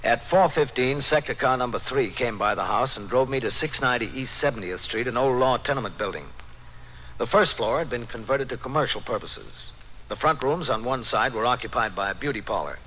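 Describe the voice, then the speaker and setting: A steady american police captain on an old radio cop show, bit of hiss, businesslike. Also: male voice, mid-range voice. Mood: matter-of-fact. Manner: measured, calm, businesslike. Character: patient, authoritative male police precinct captain. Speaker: actor and first-person narrator. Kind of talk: 1950s American radio police drama acting. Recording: faintly noisy old radio broadcast.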